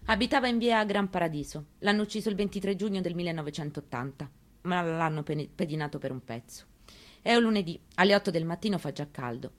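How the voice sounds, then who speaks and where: An elderly grieving mother, played by an actress, speaks in a fairly low female voice. She is wistful and stubborn, confiding her memories in short, reflective phrases, as in an intimate radio drama monologue. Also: fast, natural voice. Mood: grave, bitter.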